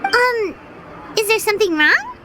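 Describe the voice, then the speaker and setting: A woman voicing a tiny, excitable, childlike fairy companion in a video game, with a squeaky, perky delivery. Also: unhurried, heavy background noise, full character voice. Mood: curious. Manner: perky, exclaiming, speaks of self in third person. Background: music.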